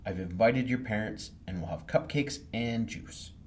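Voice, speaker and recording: A man's voice: fairly low pitch, moderate pace, a little hiss or hum, voice pushed up, close to an everyday voice. A man voicing a patient, encouraging male primary school teacher in a storybook reading. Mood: announcing. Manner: encouraging, instructive.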